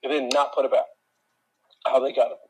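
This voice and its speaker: A deep-voiced man telling a work story in an exasperated, ranting way, speaking directly to his listeners. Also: little pitch movement, brisk, husky, poor audio. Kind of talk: casual chat.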